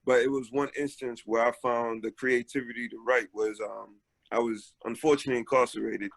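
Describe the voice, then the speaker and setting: Relaxed man in his forties, dry sound. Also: mid-range voice, brisk, gravelly, dry recording. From Haiti, raised in Brooklyn, New York. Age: 40s. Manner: relaxed, many filler ums, storytelling. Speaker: author guest. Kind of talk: casual interview talk. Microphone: video call.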